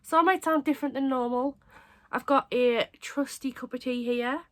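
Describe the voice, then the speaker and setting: A woman's voice: sits high, moderate pace. Chatty young woman with a cold. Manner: chatty, rambling, self-mocking. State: has a cold, hoarse and stuffy. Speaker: craft channel host. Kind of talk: casual chat to camera.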